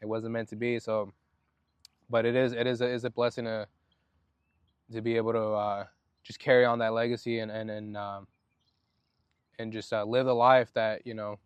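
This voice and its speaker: A soft-spoken man from Oceanside, California, speaking as a podcast guest. His voice is smooth and resonant at a middle pitch. He talks reflectively, with frequent restarts, and the sound is close and dry. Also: little pitch movement, normal speed, long pauses, no room sound. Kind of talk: casual podcast conversation.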